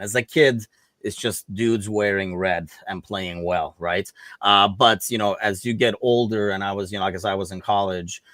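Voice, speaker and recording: A man's voice: lowish voice, fairly bright tone, no echo. A middle-aged man from Turkey telling personal stories in an informal, rambling way as a podcast guest. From Istanbul, Turkey. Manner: informal, rambling, peppered with "like" and "you know". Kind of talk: casual chat, personal storytelling.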